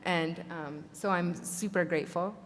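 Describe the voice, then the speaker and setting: Woman, fairly low voice, grateful halting panel talk, hall reverb. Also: fairly even intonation. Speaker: artist presenting at a panel. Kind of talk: conference talk. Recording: reverberant hall with faint noise.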